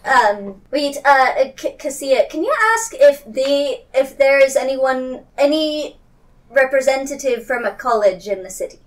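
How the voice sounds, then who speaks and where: A woman chatting casually as a tabletop player, in a hesitant, halting way. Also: high-pitched. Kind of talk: casual in-game chat. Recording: slightly distant microphone.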